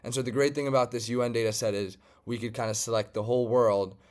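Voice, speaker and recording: Smooth-voiced German data scientist. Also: male voice, medium pitch, quick pace. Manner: relaxed, conversational, frequent "um" and "uh" fillers. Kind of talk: screen-share tutorial walkthrough.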